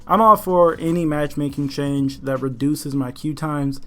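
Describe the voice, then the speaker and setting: A man's voice: on the high side, fairly even intonation, measured pace, pushed and bright. A man delivering scripted gaming news commentary in a casual, opinionated way, talking straight to viewers. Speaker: video game news YouTuber. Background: background music.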